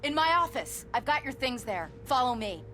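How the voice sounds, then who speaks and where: An actress playing a no-nonsense small-town sheriff in a video game, assertive and businesslike. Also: female voice, high-pitched, sing-song, normal speed, fairly bright tone, a little hiss or hum. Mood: brisk, steady. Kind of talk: video game cutscene acting.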